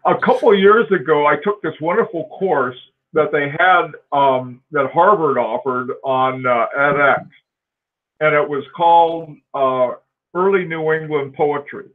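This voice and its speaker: A man in his forties hosts a loose live-stream chat, wandering through his thoughts with many fillers, restarts and quick laughs. His voice sits a bit higher than most men's and comes through a thin, phone-like line. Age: 40s. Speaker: host.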